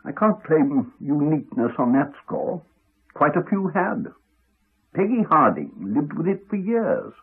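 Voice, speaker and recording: An actor with an English accent playing a crotchety, evasive village doctor with dry, formal courtesy, in a muffled 1980s radio drama. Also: male voice, a little high, big pitch swings, slightly rough, heavily acted. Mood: dryly evasive. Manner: dry, formal, measured politeness. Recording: muffled, band-limited 1980s radio recording.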